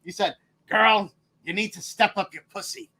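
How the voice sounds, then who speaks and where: A man's voice: high voice, steady tone, normal speed, sharp tone. A man co-hosting as a drag queen, chatting casually, giggling often, with lots of stumbling restarts. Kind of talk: casual chat.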